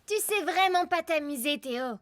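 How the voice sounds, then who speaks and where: A woman's voice: high voice, lively intonation, normal speed. A woman voicing a confident, teasing little girl in a French cartoon, playful, sassy and a bit bossy. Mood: persuasive. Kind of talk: French animated cartoon dubbing.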